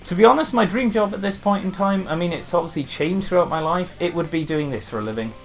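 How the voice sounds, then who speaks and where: A man's voice: high-pitched, quick pace, faint music behind. Chatty young man with a light Welsh lilt. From Wales. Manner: chatty, rambling, frequent restarts and fillers. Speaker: gaming YouTuber. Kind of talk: casual Q&A chat to camera.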